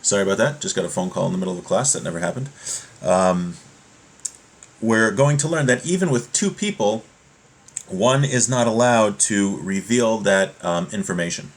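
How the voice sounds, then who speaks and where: A man giving an informal religious lesson, explaining step by step in a halting way and rephrasing his points as he goes. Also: lowish voice. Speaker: religious teacher giving a class.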